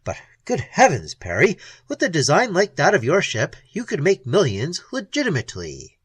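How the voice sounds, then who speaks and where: A reader voicing an earnest man who speaks softly and sadly, pleading with a woman, in an audiobook. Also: on the high side, huge pitch swings, moderate pace, breathy edge, dry recording, exaggerated voice. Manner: soft, pleading, sad. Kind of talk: audiobook dialogue.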